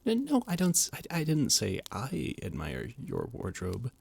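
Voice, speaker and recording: A man's voice: medium pitch, wildly swooping pitch, a little slow, gravelly, a little mellow, a little hiss or hum. A man voice-acting a flustered, formal royal adviser in an audio roleplay, often stammering. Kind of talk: audio roleplay, scripted monologue.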